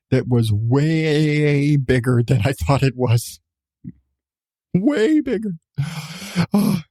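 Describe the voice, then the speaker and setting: A man's voice: mid-range voice, dark tone, no room sound. A man in his thirties, a podcast host telling a personal story casually, with swearing and emphatic repetition. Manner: profane, emphatic, repeats phrases for effect.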